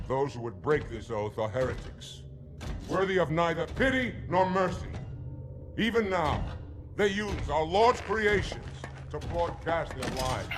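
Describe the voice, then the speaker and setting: An actor playing a fierce, devout alien military commander in a video game, speaking as a rousing, formal orator. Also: male voice, a little high, noisy background. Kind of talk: dramatic video game acting. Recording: loud music behind the voice.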